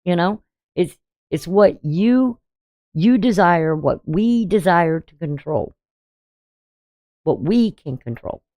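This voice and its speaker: A woman with a deep voice giving a casual daily astrology reading, talking bluntly and conversationally with frequent "you know". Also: expressive, slow, long pauses, warm tone, no echo, clean studio sound. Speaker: astrology channel host.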